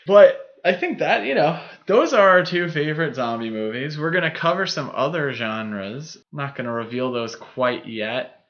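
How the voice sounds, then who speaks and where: Male podcast co-host, lightly high-pitched, enthusiastic and rambling in casual film chat, muffled lo-fi sound. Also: normal speed, few pauses. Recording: dull, muffled lo-fi audio.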